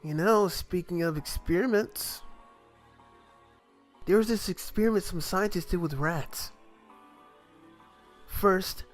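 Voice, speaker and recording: A man playing a blunt, sarcastic young captive in an amateur dub of a game. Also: fairly high pitch. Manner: blunt, slangy, sardonic. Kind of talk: amateur visual novel game dub acting.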